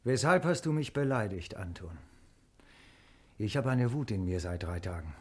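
A man's voice: fairly low pitch, expressive, moderate pace, a little mellow. A man acting an aggrieved, hot-tempered fellow, reproachful and blustering, in a 1950s German radio drama. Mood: angry, reproachful.

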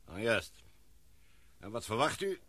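An actor playing an older, authoritative English gentleman who talks in a calm, courteous, commanding way in a radio play. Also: on the low side, measured pace, breathy edge, no echo, full character voice. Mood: questioning.

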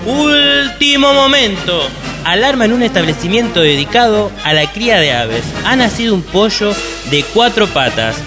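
A formal Argentine TV reporter in a radio drama. His voice is high for a man and delivered in newscast style over prominent music. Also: animated, normal speed. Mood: urgent, announcing. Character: brisk, formal male television news reporter. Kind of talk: radio play acting as news bulletin and interview.

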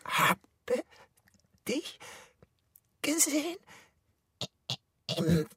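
A woman voicing an eerie, cryptic, menacing presence in a radio crime drama, halting word by word in a husky, creaky voice. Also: high-pitched, sing-song, bright, forceful tone, dry recording, studio quality, exaggerated voice.

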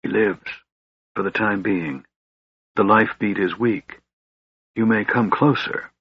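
Guy doing cool young wizard in a fantasy audiobook, sharp n cryptic, husky, bit muffled. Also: lowish voice, dry recording, exaggerated voice. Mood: quiet, cool. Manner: sharp, measured, enigmatic. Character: slender young male mage, cool, secretive, weary.

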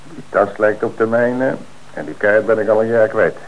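A man acting a calm, confident police commissioner with measured authority in a Dutch radio play. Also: on the low side, phone-quality sound, natural voice. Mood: firm, assured. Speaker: actor in a radio crime play. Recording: noisy, band-limited old radio recording.

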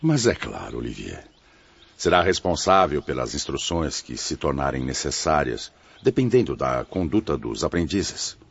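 An actor in a radio drama playing a noble, authoritative spirit instructor. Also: male voice, extremely low pitch, big pitch swings, moderate pace, creaky, exaggerated voice. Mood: solemn. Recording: dull lo-fi recording.

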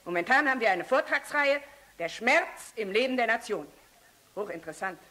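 High-voiced, brazen young fanatic woman. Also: expressive, normal speed, pushed and bright. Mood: eager, boastful. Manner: cheeky, provocative, haughty. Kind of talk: German radio play acting.

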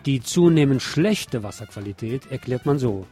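A man's voice: mid-range voice, lively intonation, moderate pace, warm tone. A man presenting scripted news as a radio host, adding sardonic, opinionated commentary of his own. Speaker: radio show host reading local news. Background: music with guitar.

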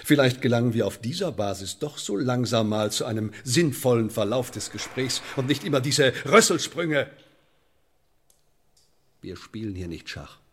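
A man's voice: mid-range voice, expressive, normal speed, slight background noise, natural voice. An actor playing a menacing, sardonic Elizabethan sheriff in a German radio play, officious and mockingly polite in his wordy threats. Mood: sardonic, stern.

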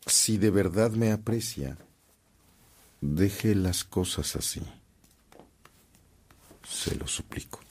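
An actor playing a humble, forbearing priest in a radio drama, speaking in a measured, earnest way. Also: male voice, low voice, darkish tone, slightly rough, exaggerated voice. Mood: gentle, firm. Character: humble, forbearing priest, adult man of calm conviction.

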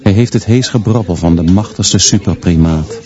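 Actor as stern schoolmaster narrating a radio drama, deep and dark voice, clipped grim deadpan delivery. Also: male voice, moderate pace, muffled recording, lightly acted. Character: stern male schoolteacher narrating his monstrous pupils grimly. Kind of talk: literary radio play narration.

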